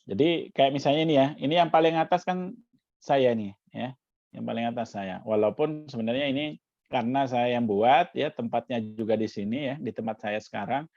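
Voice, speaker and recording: A man's voice: medium pitch, moderate pace, darkish tone, no room sound, lo-fi sound. A man from Jakarta coaching a community workshop over a video call, explaining step by step with frequent "ya" tags. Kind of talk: online workshop demo.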